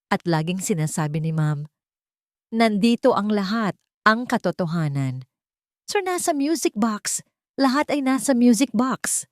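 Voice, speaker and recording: A woman's voice: mid-range voice, very animated, a little slow, pauses often, dry recording, studio quality, voice pushed up. A woman voicing a frightened, deferential old housemaid with a trembling voice in a dramatic story. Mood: fearful, urgent.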